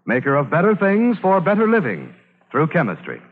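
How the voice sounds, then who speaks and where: A man's voice: on the high side, huge pitch swings, moderate pace, phone-quality sound. An American man announcing formally from a script on a 1950s radio program. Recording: band-limited old radio broadcast with loud music. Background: music.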